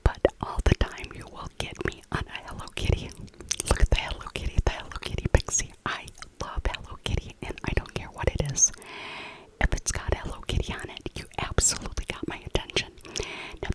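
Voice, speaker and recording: A middle-aged woman whispering a breathy, rambling ASMR shopping voiceover, often repeating her phrases. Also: normal speed, low-quality recording.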